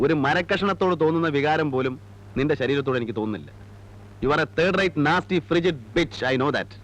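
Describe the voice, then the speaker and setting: A man's voice: fairly high pitch, lively intonation, normal speed, fairly bright tone, low-quality recording. An actor playing an arrogant, cruel, domineering man in a film drama, scornful, with an Indian Malayali accent. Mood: menacing contempt. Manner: scornful, mixes Malayalam with English outbursts. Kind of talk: heated film drama dialogue.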